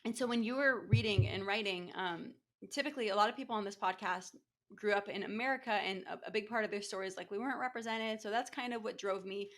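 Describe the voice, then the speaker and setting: A woman's voice: mid-range voice, quick pace, a bit bright. A woman hosting a podcast interview, asking long, rambling questions with restarts and fillers. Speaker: podcast host. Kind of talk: conversational interview.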